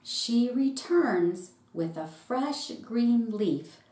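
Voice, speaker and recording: A middle-aged woman, a pastor, reading a children's picture book aloud in short, deliberate phrases. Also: a little high, expressive, mellow. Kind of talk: storybook read-aloud for children. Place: small indoor room with bookshelf. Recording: roomy echo with faint background noise.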